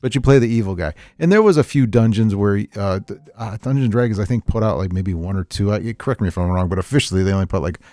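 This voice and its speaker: A middle-aged man chatting casually on a podcast, telling stories in a rambling, animated way with repeats and chuckles. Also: on the low side, quick pace, hardly any pauses, dry recording. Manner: animated storyteller, chuckles often, repeats himself. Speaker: podcast host, veteran tabletop gamer.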